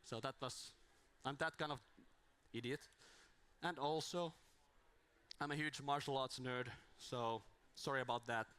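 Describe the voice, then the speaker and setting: A man speaking into a handheld mic at a small conference, his voice strained from days of talking. He wanders through his points with restarts and dry, self-deprecating asides, at an everyday middle pitch. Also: steady tone, measured pace, sharp tone, clear, resonant tone. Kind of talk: informal conference talk.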